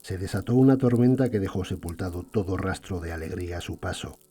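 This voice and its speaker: A man playing a solemn storyteller, narrating a fairy tale in a measured, dramatic way. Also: deep voice, dark tone, soft background music.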